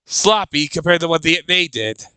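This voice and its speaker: A man with a high, clean voice hosts a casual reaction video. His tone is very bright and the sound is dry and close. He thinks aloud haltingly, circling back over his own phrases. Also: lively intonation, clean tone, no room sound.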